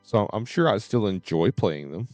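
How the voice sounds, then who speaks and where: A man's voice: fairly low pitch, lively intonation, moderate pace, a little mellow, no echo, lo-fi sound, faint music behind. A man streaming games and chatting casually in a hesitant, rambling way. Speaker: game streamer. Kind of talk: casual livestream chat.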